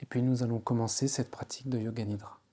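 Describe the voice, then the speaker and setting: A man guiding a yoga nidra relaxation session, giving soothing, unhurried instructions with pauses between phrases. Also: medium pitch, little pitch movement, mellow, a little hiss or hum. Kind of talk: guided relaxation meditation.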